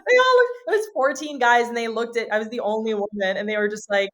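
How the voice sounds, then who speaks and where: A woman from Miami, a podcast guest, telling stories in a lively, animated way and laughing often. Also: on the high side, fast talker. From Miami, USA. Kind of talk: casual storytelling chat.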